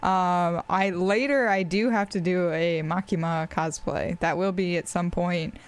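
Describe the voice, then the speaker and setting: A woman's voice: fairly low pitch, fairly even intonation, unhurried, smooth. Chatty young woman with an American accent. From Australia. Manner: chatty and rambling, with frequent fillers and laughs. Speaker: streamer. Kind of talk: casual live-stream chat.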